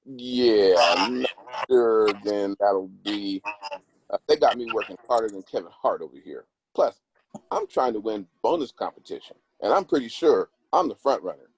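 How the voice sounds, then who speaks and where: A man's voice: on the low side, expressive, normal speed. A man playing a busy, boastful long-distance boyfriend in a conversational script table read. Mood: casual, self-absorbed.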